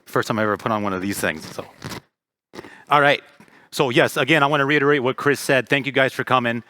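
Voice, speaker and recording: A man in his thirties from Florida talks to a room of parents in a church hall, casual and friendly, full of ums and uhs. His voice is bright and forward, at an ordinary pitch. The handheld mic sounds dry and close. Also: quick pace. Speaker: Little League president. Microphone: handheld microphone.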